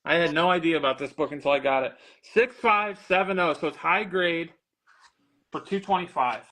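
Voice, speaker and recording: A man's voice: a little high, normal speed, sharp tone, rough recording. A man casually selling comic books on a live stream, quoting grades and prices in an easygoing way and laughing often. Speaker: comic book seller on a live sale. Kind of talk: casual live sales chat.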